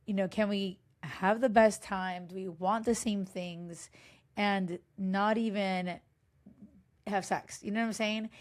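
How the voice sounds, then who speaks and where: A woman in her thirties, hoarse from a cold, giving frank, chatty relationship advice as a coach, with plenty of fillers and restarts. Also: mid-range voice, darkish tone. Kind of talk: casual direct-to-camera advice talk.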